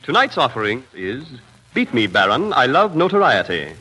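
Lively-voiced 1940s radio announcer man. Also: mid-range voice, moderate pace. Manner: mock-grand, playful introductions. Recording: noisy 1940s radio recording.

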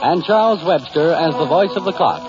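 A man working as a 1940s radio announcer, reading scripted credits in a formal, measured way. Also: fairly high pitch, animated. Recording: muffled old radio recording with music.

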